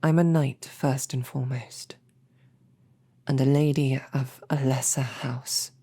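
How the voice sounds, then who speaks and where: A woman's voice: very deep voice, steady tone, slow pace, husky. A woman playing a stammering, flustered knight. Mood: earnest. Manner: stammering, flustered, shifting to soft whispers. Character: flustered snarky female knight, devoted. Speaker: audio drama performer. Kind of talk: romantic audio roleplay.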